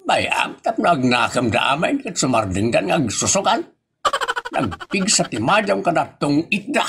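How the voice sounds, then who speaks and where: A man playing a gravelly, grumbling father. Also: on the high side, sing-song, moderate pace, fairly bright tone, heavily acted. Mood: scolding. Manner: scolding, teasing. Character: grumbling middle-aged husband and father. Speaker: voice actor in an audio drama. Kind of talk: comedic audio drama acting.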